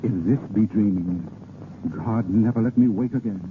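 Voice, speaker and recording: An actor playing a swaggering, romantic French vagabond poet and thief in flowery, declamatory 1930s radio style. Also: male voice, on the low side, moderate pace, background noise, lightly acted. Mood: ardent, romantic. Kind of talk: 1930s theatrical radio acting with sung verse. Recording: tinny, band-limited 1930s radio recording, music.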